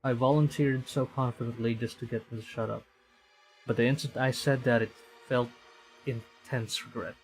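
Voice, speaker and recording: A man's voice: medium pitch, steady tone, moderate pace, slight background noise, poor audio. A man from the Philippines livestreaming a game, reading aloud theatrically and reacting to it. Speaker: streamer. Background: game music.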